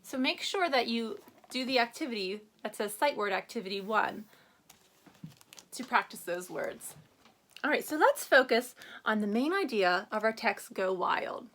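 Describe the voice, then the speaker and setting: Lively, high-voiced woman teaching young children. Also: a little slow. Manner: instructive, asking questions and prompting young children. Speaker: first-grade teacher. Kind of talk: children's reading lesson with read-aloud.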